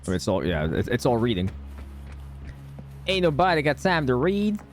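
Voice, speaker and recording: A man's voice: medium pitch, very animated, moderate pace. A male game streamer with a mid-range voice chats casually over faint game music. He is irreverent and slangy, tossing out jokes as he comments on the playthrough.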